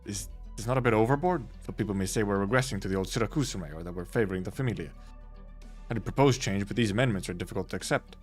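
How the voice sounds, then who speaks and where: A man reading a troubled male city official from a game story, slightly low and level in tone. The delivery is formal and measured, with faint music underneath. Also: lightly acted. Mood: earnest. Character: male official weighing hard choices. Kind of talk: live reading of game dialogue.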